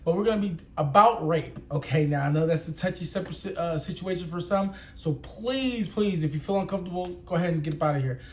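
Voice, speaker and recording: A young man with a slightly high voice hosts his reaction channel in a rambling, hyped way. He swears casually and leans on street slang as he talks to his audience. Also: fast, hardly any pauses, a little hiss or hum. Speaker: YouTube reaction host. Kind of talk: casual vlog intro.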